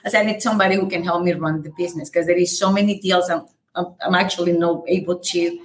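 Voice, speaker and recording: A woman from El Salvador speaks English with a light Salvadoran Spanish accent, her first language being Spanish. Her voice sits a little low, and she talks in energetic bursts with fillers. She is a guest on a casual podcast. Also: fast. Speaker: guest, real estate brokerage owner.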